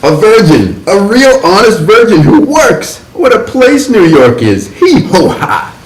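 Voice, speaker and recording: A man playing an exuberant, slangy 1950s hipster writer who talks in jazzy, animated bursts. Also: high voice, measured pace, slight background noise. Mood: jazzy, excited. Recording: roomy echo.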